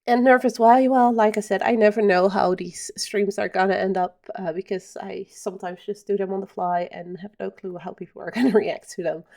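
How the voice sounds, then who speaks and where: Chatty Dutch woman streamer, gravelly. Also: mid-range voice, fairly even intonation, fairly quick, few pauses, a little mellow. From Netherlands. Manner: chatty, answers chat, many ums. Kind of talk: casual live-stream chat.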